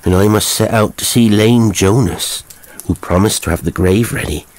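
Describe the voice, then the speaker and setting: A man's voice: fairly low pitch, normal speed, darkish tone, pitched above the performer's own voice, close to an everyday voice. A man voicing a shrewd old rustic cottage woman in a horror story, deferential but knowing, feigning stupidity. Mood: shrewd, ominous.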